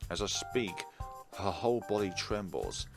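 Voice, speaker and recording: Male gaming YouTuber, low register, reading story game text aloud with clear music underneath. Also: big pitch swings, a little slow. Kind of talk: let's play commentary, reading visual novel text aloud. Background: video game music.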